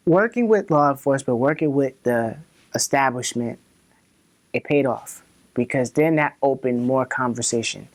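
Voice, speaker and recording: A man recounting community experiences in an interview, emphatic and building through repeated phrases. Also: mid-range voice. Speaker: community march organiser. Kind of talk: personal interview storytelling.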